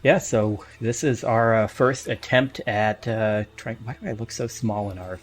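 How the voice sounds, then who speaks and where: A man's voice: on the low side, fairly even intonation, a little slow. A man hosting a podcast in casual live chat, with a hesitant, jokey way of talking. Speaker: podcast host.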